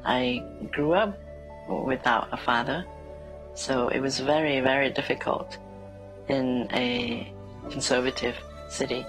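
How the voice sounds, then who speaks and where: Slow, very low-voiced woman from Vietnam. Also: fairly even intonation, low-quality recording. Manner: slow, halting, with repeated words. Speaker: painter. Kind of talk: interview. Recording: soft music underneath.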